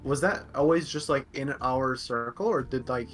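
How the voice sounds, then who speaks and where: A man's voice: medium pitch, moderate pace, loud music behind the voice. A man in his twenties hosting a podcast, chatting casually and asking easygoing follow-up questions. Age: 20s. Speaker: podcast host. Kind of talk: casual chat.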